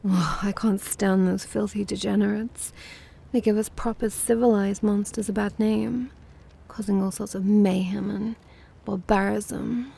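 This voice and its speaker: Woman playing a creaky, prickly vampire mistress. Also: medium pitch, fairly even intonation, measured pace, dark tone. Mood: disdainful. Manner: huffy, haughty, theatrical. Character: prickly, disdainful vampire woman, secretly smitten with a human. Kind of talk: ASMR roleplay acting.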